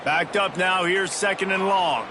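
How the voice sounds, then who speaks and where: A man's voice: high voice, measured pace, noisy background. An American man commentating play-by-play on a football video game as a polished, upbeat announcer. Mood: engaged, announcing.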